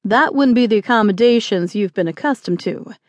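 A woman's voice: on the high side, animated, brisk, a little mellow, lightly acted. A stern frontier marshal as voiced by a woman narrator in an audiobook western. He gives orders firmly and with irritation, used to being obeyed. The sound is dry, without room echo. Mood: firm, controlled. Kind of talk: acted audiobook dialogue.

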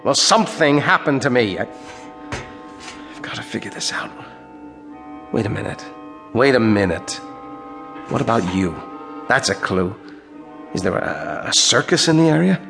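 An actor playing a bewildered, insistent army major in a radio drama, speaking in an urgent, halting, questioning way. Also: male voice, mid-range voice, animated, vocal fry, over music.

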